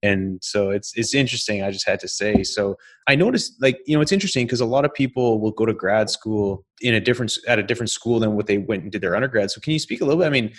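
A man hosting an industry podcast, talking in a relaxed, casual way and saying "man" and "you know" often. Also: fairly low pitch, quick pace, hardly any pauses. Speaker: podcast host. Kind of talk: casual interview chat with read ad.

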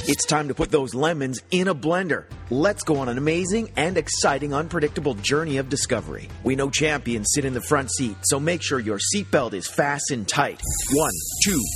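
A man's voice: on the high side, expressive, normal speed, over music. A man reading an upbeat motivational podcast intro in an announcer voice. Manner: hyped, motivational announcer delivery. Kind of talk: energetic scripted promo.

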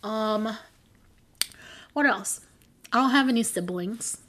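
A woman's voice: on the high side, slow, a bit bright, slight background noise. A young adult woman co-hosting a podcast, chatting casually, rambling and correcting herself with frequent giggles. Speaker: podcast co-host. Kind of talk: casual chat.

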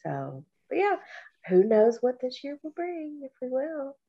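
A woman from Kentucky co-hosting a podcast, talking slowly and reflectively, with a stuffy nose from allergies. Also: high voice, sing-song, muffled recording. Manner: slow, warm, reflective, with many pauses and fillers. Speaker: podcast co-host. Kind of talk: casual podcast chat.

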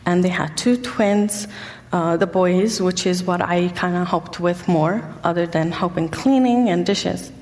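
A young woman from Egypt giving a personal testimony as a guest speaker, halting often with ums and pauses. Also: lowish voice, darkish tone, big-room sound. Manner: hesitant, many ums and pauses. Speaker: guest speaker sharing her story.

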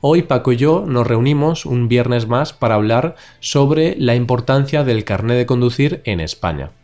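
A man's voice: medium pitch, normal speed. A man from with a stuffy nose from a cold, hosting a casual podcast for learners over a video call. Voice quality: nasal. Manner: relaxed, chatty, laughs often. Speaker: podcast host. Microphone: recorded over a video call.

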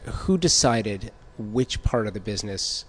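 A man's voice: fairly low pitch, lively intonation, unhurried, husky. A man hosting a podcast interview, putting questions to guests in a conversational way.

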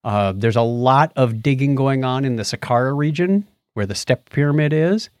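A man's voice: medium pitch, moderate pace, a little mellow, clean tone, dry recording, clean studio sound. A man chatting casually and enthusiastically as a podcast co-host. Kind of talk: casual chat.

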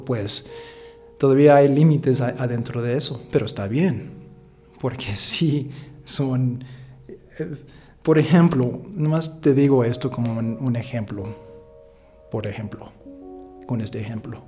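Reflective man, soft music behind. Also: medium pitch. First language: English. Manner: rambling, thoughtful, self-correcting, chuckles. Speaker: podcast host. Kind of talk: casual reflective monologue.

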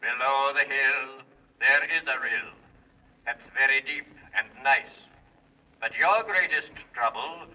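A man's voice: mid-range voice, slow pace, pushed and bright, lo-fi sound. A man voicing a magic mirror, a solemn oracle that answers in rhyme, in a 1930s children's radio serial. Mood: solemn, foretelling. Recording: distant-sounding vintage 1930s radio recording.

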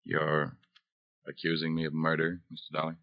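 An actor playing a wary, terse fortune hunter with menace under the surface, in a 1950s radio drama. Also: male voice, rumbling low, monotone, normal speed, highs cut off. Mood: indignant. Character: guarded male fortune-hunting suitor, quietly menacing murderer. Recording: old 1950s radio broadcast recording.

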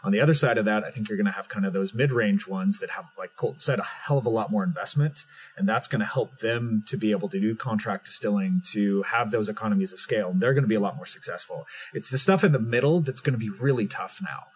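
A man co-hosting a casual podcast chat, talking quickly and thinking aloud at length. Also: on the low side, breathy edge. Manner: fast, talkative, thinking aloud. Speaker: podcast co-host.

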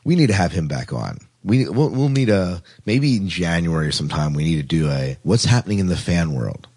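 A man's voice: deep voice, very animated, a little fast, darkish tone, husky, no echo. A man hosting a podcast in a casual, playful, jokey chat style. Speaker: podcast host.

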